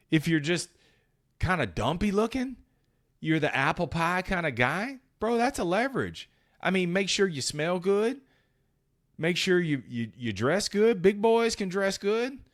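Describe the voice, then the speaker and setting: A man hosting a motivational sales podcast, talking bluntly and emphatically in short, punchy phrases, with some profanity. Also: a little high. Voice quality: powerful voice. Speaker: podcast host and sales coach. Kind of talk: motivational solo talk.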